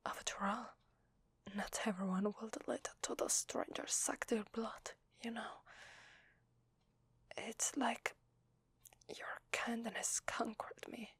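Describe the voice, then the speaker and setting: A woman with an Italian accent voicing a shy, needy, grateful vampire lady in hesitant, breathy whispered ASMR roleplay, close to the microphone. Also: medium pitch, fairly even intonation, no echo. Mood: tender, admiring. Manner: hesitant, soft, halting. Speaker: ASMR voice actor. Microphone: close microphone, dry.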